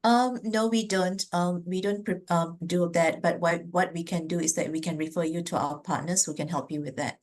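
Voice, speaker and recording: A woman from Malaysia with a Malaysian accent and a low voice answers business owners' questions during an online webinar. She speaks earnestly and stops often to restart her sentences and fill pauses with "um". She is heard through a computer mic on a video call. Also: fairly even intonation, darkish tone. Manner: earnest, many fillers and restarts. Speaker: business advisor.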